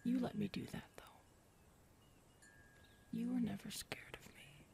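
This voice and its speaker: A woman playing a big, earnest predatory alien woman in an intimate audio roleplay, soft and hesitant, often murmuring. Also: a little high, fairly even intonation, measured pace, creaky, whispered. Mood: earnest, wounded. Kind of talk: audio roleplay acting, addressed to listener.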